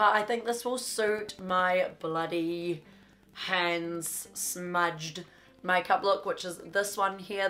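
A woman's voice: mid-range voice, a bit bright. A young woman, blocked up from a cold, gushing casually through a clothing haul in a small bedroom studio. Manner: chatty, gushing, lots of "like". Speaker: fashion vlogger.

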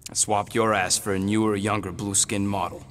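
Man voicing a gruff, low, snarky raccoon mercenary. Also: level tone, fairly quick, a bit bright, slight background noise, lightly acted. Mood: sardonic. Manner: sarcastic, blunt. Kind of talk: video game voice acting.

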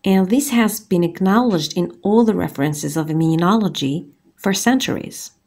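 A woman from Algeria, a gynecologist, giving a scripted medical lecture as a voice-over on slides, measured and precise. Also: on the low side, a little mellow. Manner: measured, deliberate, precise enumeration.

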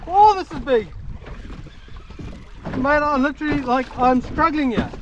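A man's voice: extremely high pitch, measured pace, muffled recording. A young man fishing from a jet ski, commentating live with whoops and exclamations. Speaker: fishing vlogger. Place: open water on a jet ski, outdoors. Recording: windy outdoor camera audio.

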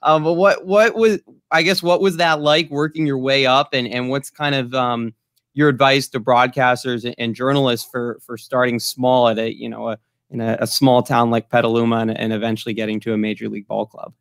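A man's voice: medium pitch, flat delivery, a little fast, a bit bright, no echo, clean studio sound. A young man from Northern California with an American accent, hosting a sports interview over a video call with hesitant, wordy questions. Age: young adult. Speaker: sports show host, interviewer. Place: small room at home, indoors.

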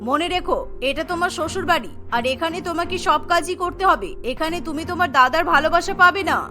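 A woman's voice: very high-pitched, fast talker, pushed and bright. A woman voicing a greedy, stingy middle-aged mother-in-law in a cartoon, nagging and sarcastic. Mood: scolding.

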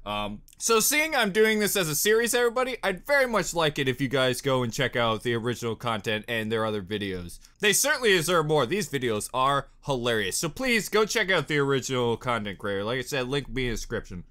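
A man's voice: medium pitch, brisk, hardly any pauses. A young man giving casual, joking reaction commentary into a desktop microphone in his bedroom. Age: young adult. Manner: animated, joking. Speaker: reaction video host. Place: small cluttered bedroom. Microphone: desktop condenser microphone.

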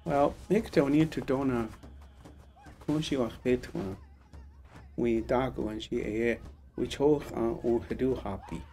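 A man in his thirties speaking in a careful, deliberate way in an interview. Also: medium pitch, mellow. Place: room by a window, indoors.

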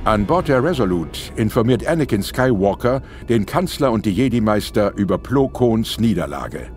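A man's voice: fairly low pitch, animated, normal speed, over music. A man reading scripted narration for an audio drama.

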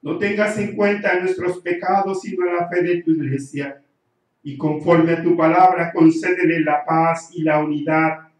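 Middle-aged priest, very echoey church. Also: male voice, on the high side, fairly even intonation. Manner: slow, measured recitation. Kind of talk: liturgical prayer at Mass. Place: small church, at the altar, indoors. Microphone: handheld mic at times, heard from some distance. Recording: very echoey church, far from mic.

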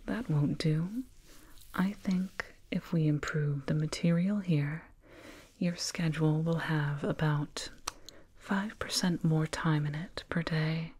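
A woman voicing a soothing, condescending alien dentist in a breathy ASMR whisper, close to the mic, doting and clinical. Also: sits low, exaggerated voice. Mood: reassuring, clinical. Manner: slow, doting, clinical, gently patronizing. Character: condescending yet soothing alien dentist, fussing over a little human. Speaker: ASMR roleplayer. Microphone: binaural mic, up close.

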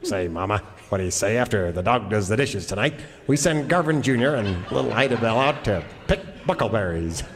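Homespun frontier patriarch, low voice, radio sketch comedy, long hall reverb. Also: male voice, animated, brisk. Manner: drawling, homespun. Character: folksy, patronizing old-West family patriarch and huckster.

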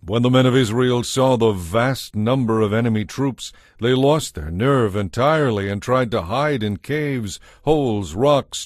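A man's voice: on the low side, darkish tone, no room sound, studio quality. A man narrating a dramatized scripture audiobook in a scripted, storytelling style.

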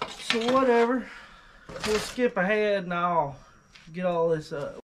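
A man's voice: high voice, expressive, slow pace, a bit bright, slight background noise. A man casually filming an unboxing and test in his workshop, talking in a rambling, offhand way with lots of fillers. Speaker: video host. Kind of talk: casual unboxing and testing vlog. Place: workshop, by a truck.

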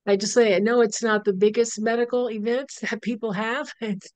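An older woman in casual conversation on a video call, gently effusive and a little halting. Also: fairly high pitch, steady tone, no echo. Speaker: group participant. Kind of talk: casual chat. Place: living room sofa, indoors, video call. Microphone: device mic on a video call.

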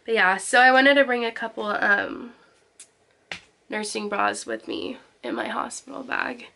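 A woman's voice: a little high, sing-song, a little slow, gravelly, bright, forceful tone, slight background noise. A young woman in her twenties with a cold and a slightly nasal voice, chatting casually to camera as a vlogger with lots of ums and likes. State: sick, nasal. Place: parked car, then walk-in closet. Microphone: handheld camera close up.

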